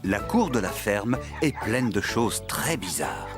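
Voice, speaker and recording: A man playing a friendly, animated storyteller of a farm tale for a children's cartoon. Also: fairly low pitch, slow pace, over loud music. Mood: storytelling.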